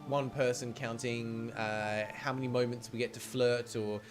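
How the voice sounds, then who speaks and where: A man livestreaming a visual novel, switching between expressive reading and chatty, playful commentary. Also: mid-range voice, measured pace. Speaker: game streamer. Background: game music.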